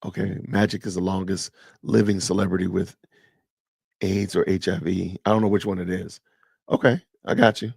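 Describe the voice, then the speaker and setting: A man in his fifties with a low, gravelly voice talks straight to his live stream audience. He answers comments as he reads them and keeps things loose and conversational. The sound is dry and close. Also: level tone, normal speed, a little mellow, dry recording. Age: 50s. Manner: chatty, reads and answers live chat aloud. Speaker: podcast host. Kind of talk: casual live stream chat with viewers.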